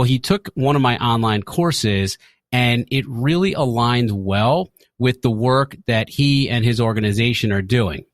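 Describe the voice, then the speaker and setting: A man of average pitch shares a personal story in an earnest way. He explains things in long, linked sentences like a teacher, and the sound is very dry with no room on it. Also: no echo. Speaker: educator and podcaster. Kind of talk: personal story sent as a voice message.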